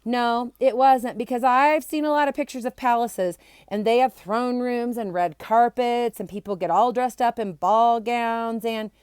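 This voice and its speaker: An excitable, skeptical schoolboy from a storybook, read by a woman protests insistently. The voice is pushed higher and sounds dry and close. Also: measured pace, few pauses, clean tone, dry recording, lightly acted. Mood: indignant protest. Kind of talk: children's storybook character reading.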